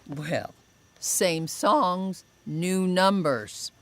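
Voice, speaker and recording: A woman's voice: on the low side, fairly bright tone. An actress playing a chatty small-town older woman who loves to tell a story, stretching out her words for effect. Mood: gossiping. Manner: chatty storyteller, drawn-out emphasis. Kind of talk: radio drama acting.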